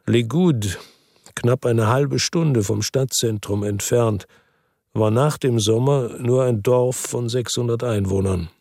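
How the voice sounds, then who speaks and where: Weary ex-cop narrator. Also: male voice, medium pitch, slow, gravelly, no room sound, studio quality. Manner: calm, reflective first-person narration. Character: world-weary ex-cop, middle-aged man, lives by the sea. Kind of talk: radio drama narration.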